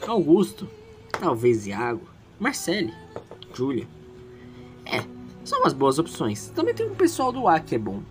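A man's voice: on the high side, huge pitch swings, measured pace, long pauses, faint music behind. A man with a Brazilian accent playing an anxious, insecure, ambitious teenage schoolboy in a dramatic audio drama, speaking emotively and emphatically. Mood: scheming, pensive. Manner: emotive, emphatic, repeating words when agitated. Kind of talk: dramatic first-person narration and dialogue in audio drama.

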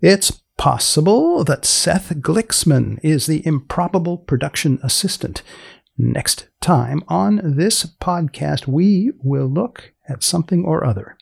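Male podcast host, gravelly and husky, deadpan, inquisitive, casual interview delivery. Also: fairly high pitch, slow. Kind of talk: casual interview with scripted sign-off.

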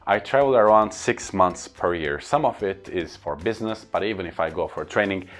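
A man with a Turkish accent speaks to camera in an earnest, upbeat way, stacking up lists for emphasis. His voice sits very low. Faint music plays quietly beneath him. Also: animated, moderate pace. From Turkey. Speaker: corporate trainer and speaker. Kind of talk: casual talking-to-camera vlog.

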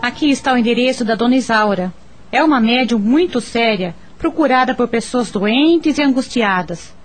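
An actress playing a discreet, kindly neighbour in a radio soap opera, speaking in a gentle, persuasive way. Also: female voice, a little high, animated, moderate pace. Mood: reassuring. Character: discreet, kindly, well-meaning neighbour woman.

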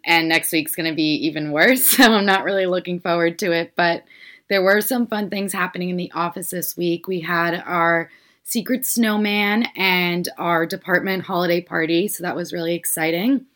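A woman's voice: fairly low pitch, steady tone, normal speed, a bit bright. A woman in her twenties co-hosting a casual podcast, rambling through a work story with frequent giggles. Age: 20s. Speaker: podcast co-host.

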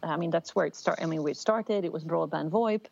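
A woman's voice: sits low, fairly quick, vocal fry, darkish tone, dull audio, a little hiss or hum. A middle-aged woman from Sweden with a Swedish accent, giving a thoughtful interview answer with many fillers and restarts. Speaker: telecom executive guest. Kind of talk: conversational interview.

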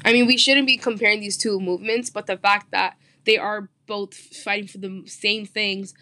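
A woman's voice: medium pitch, steady tone, moderate pace, bright, forceful tone, dry recording. A young woman hosting a student discussion podcast, earnest and asking her guests questions. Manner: earnest, asks the panel questions. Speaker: host.

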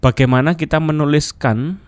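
Male radio guest, dry close mic, lively explainer. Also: fairly high pitch, moderate pace, smooth, no room sound. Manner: lively explaining, sprinkles English terms, frequent "ya" check-ins. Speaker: marketing expert guest. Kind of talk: radio talk show chat. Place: radio studio. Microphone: close studio mic.

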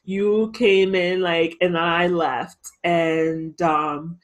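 A woman hosting a casual podcast chat, speaking in a slow, reflective way. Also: on the low side, steady tone. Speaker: podcast host.

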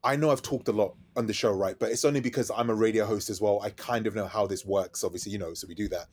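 A man, a DJ and radio host talking quickly and eagerly as a podcast guest. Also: lowish voice, slightly rough. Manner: fast-talking, animated storytelling. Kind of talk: casual interview chat.

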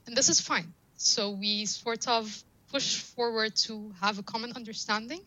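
A woman's voice: on the high side, normal speed, bright, forceful tone, dry recording, poor audio. A woman whose first language is Arabic, explaining her work earnestly as an interview guest, often through analogies. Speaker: guest, data science professional.

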